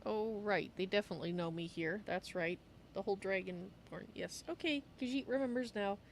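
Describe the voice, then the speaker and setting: A woman's voice: mid-range voice, moderate pace, creaky, slight background noise, lightly acted. A woman roleplaying a polite, slightly befuddled female cat-folk adventurer in a video game, speaking of herself in third person. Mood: musing, polite.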